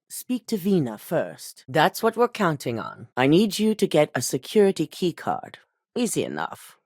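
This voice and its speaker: Casting: poised corporate executive woman, low-ish voice with lively pitch, measured and formal, dry studio sound. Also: no echo. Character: composed corporate executive woman, cool and commanding. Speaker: corporate executive giving assignments. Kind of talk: video game voice acting.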